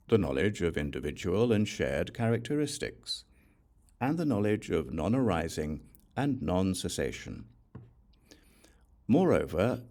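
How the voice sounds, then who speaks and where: Deep-voiced man reading with sweeping intonation. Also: darkish tone. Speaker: audiobook narrator. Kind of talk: solemn scripted narration of a Buddhist sutra.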